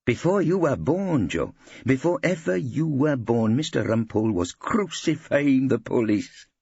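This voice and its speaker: A man's voice: mid-range voice, very animated, unhurried, vocal fry, darkish tone, husky, dry recording, dull audio, voice pushed up, heavily acted. A man voicing an earnest, zealous Welsh solicitor in an audiobook, preachy and optimistic. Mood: zealous, proud. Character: earnest, eager, indignant Welsh male solicitor.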